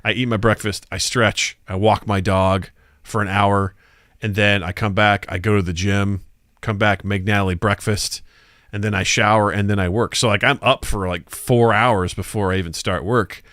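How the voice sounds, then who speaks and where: A man's voice: on the low side, steady tone, moderate pace, fairly bright tone, no echo, studio quality. A man of forty hosting a live podcast stream in a laid-back, joking way, chatting with his co-host and reading viewer comments aloud. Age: 40s. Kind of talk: casual live-stream chat.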